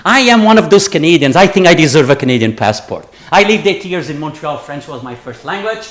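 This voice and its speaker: A man's voice: a little high, sing-song, a little fast, a bit bright. A middle-aged man from the Azores giving an academic conference talk, jet-lagged and tired, chatty and digressive with joking asides to the audience. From Azores, Portugal. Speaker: conference speaker, geographer. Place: lecture room with an audience.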